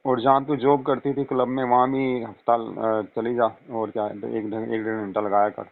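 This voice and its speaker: A man's voice: medium pitch, level tone, normal speed, vocal fry, natural voice. A man playing an indulgent middle-aged father, talking casually and coaxingly in a staged phone conversation. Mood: reassuring. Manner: coaxing, reassuring, repetitive. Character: soothing, indulgent father of a married daughter. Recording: tinny, narrow phone-call audio.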